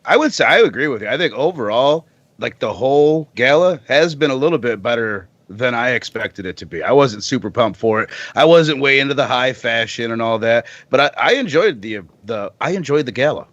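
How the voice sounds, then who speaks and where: A man's voice: mid-range voice, expressive, a little fast, a bit bright, dry recording, highs cut off. A man chatting casually and giving his opinions as a podcast guest. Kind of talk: casual chat.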